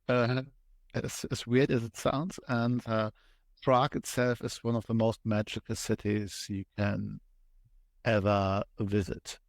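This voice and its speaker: A man with a German accent, a podcast guest explaining things step by step with frequent thoughtful pauses. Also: fairly low pitch, flat delivery, no echo. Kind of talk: conversational interview explanation.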